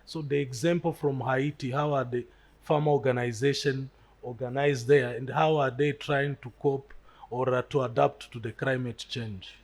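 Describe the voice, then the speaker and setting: A man moderating a panel discussion. He puts measured questions to the guests. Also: medium pitch, steady tone.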